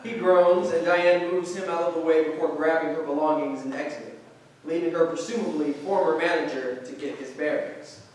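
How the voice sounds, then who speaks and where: Highish-voiced male narrator in an echoey room. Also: fairly even intonation, normal speed. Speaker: narrator reading stage directions. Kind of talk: scripted narration. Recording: distant, very echoey room, faint noise.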